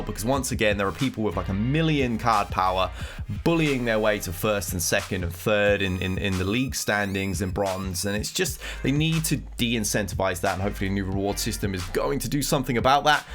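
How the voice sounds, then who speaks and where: A man's voice: lowish voice, expressive, fairly quick, a bit bright, faint music behind. A man with a cold and a nasal voice, giving casual commentary on game news as a gaming content creator. He rambles and thinks aloud as he reacts.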